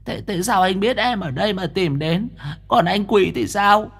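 A man doing a shrill, terrified ghost girl. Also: moderate pace, creaky, a bit bright, breathy edge, heavily acted. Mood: flustered. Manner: shrill crying pleas. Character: terrified young woman ghost, pleading for rescue.

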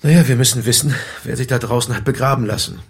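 Gravelly, persistent police detective. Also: male voice, medium pitch, quick pace. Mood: insistent. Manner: polite but insistent. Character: calm, persistent male police detective questioning a householder. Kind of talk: radio play acting.

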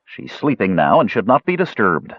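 A man's voice: on the low side, very animated, normal speed, breathy edge, no echo, exaggerated voice. A man acts out a stern, humorless half-elf in a fantasy audiobook. He is terse and protective, with serious delivery. The sound is slightly dull. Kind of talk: audiobook character voice.

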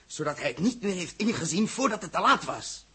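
Fiery space captain, indignant, accusatory, high-pitched and very animated, 1960s radio drama. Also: male voice, fairly quick, breathy edge, heavily acted. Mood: urgent, demanding. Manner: impassioned, accusatory, rhetorical.

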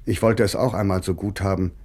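An actor playing a grieving, bitterly ironic middle-aged man of letters, reading in a measured way for radio. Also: low voice, steady tone, darkish tone, husky. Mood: bitter irony.

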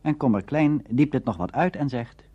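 Dull lo-fi recording, man, husky voice, animated intonation, scripted radio documentary narration. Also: mid-range voice, normal speed. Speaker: documentary presenter.

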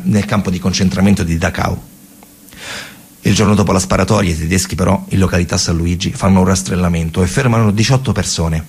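A man performing dramatic theatrical storytelling, in short emphatic phrases with repetitions and deliberate pauses. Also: low voice, creaky, a little mellow, husky. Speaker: stage storyteller, actor performing a narrative monologue.

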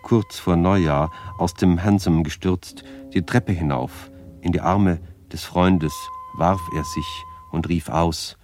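A solemn poet in a radio drama, with an extremely low voice. He declaims verse slowly in short, measured phrases, over guitar music. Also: a little mellow. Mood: solemn narrating.

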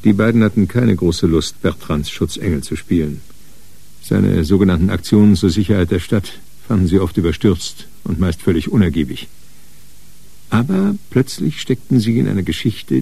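A man narrating a crime radio drama in a measured, dry storytelling manner. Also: fairly low pitch, vocal fry, dark tone, husky. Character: sober male narrator of a detective story.

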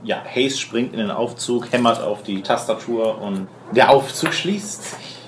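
A man narrating a tabletop roleplaying game as game master, improvising in a halting way. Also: mid-range voice. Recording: echoey room with clear background noise.

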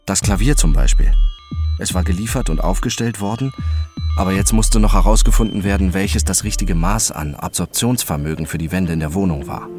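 An actor playing a detached, methodical first-person narrator in a radio drama, measured, precise and matter-of-fact. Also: male voice, low voice, music in the background, close to an everyday voice.

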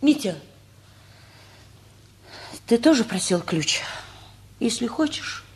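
Needy pleading woman, slow, pitch all over, old soviet radio play. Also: big pitch swings. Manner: pleading, emotive, halting. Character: Tamara Timofeyevna, pleading, possessive, self-justifying woman. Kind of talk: Soviet radio theatre acting.

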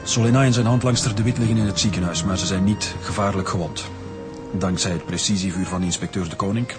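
A man with a Flemish accent playing a formal, composed senior police officer in a radio crime drama, speaking in a measured way. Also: low voice, steady tone, close to an everyday voice. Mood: formal, satisfied. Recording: music under the voice.

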